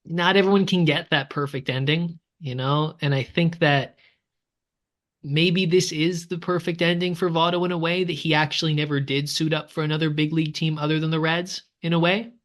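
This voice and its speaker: A man's voice: a little high, steady tone, moderate pace, fairly bright tone. A man from Canada, co-hosting a casual baseball podcast and often ending his points with "right?". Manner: conversational, tags statements with "right?". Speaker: podcast co-host. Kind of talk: casual sports chat.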